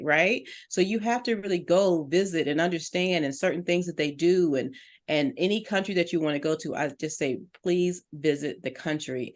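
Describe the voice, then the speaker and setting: A middle-aged woman, a business coach on a talk show, giving direct and encouraging advice to listeners. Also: fairly low pitch. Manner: earnest, direct and encouraging, addresses listeners as "you".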